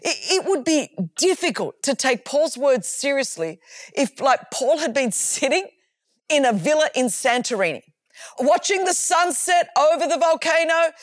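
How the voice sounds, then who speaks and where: Animated Australian woman preacher, high voice. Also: no echo, clean studio sound. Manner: animated and emphatic, with conversational asides and repeated words. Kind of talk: sermon.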